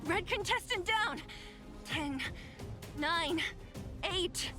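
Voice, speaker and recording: A woman's voice: very high voice, lively intonation, very slow, over music, heavily acted. An actress playing a bossy but caring young female fight referee in a video game, emphatic and commanding. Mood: announcing, commanding. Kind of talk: video game character acting.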